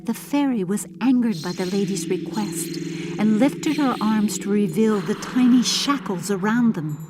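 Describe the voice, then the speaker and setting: A woman narrating a scripted storybook audiobook in a deliberate, dramatic storytelling manner. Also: a little high, noisy background.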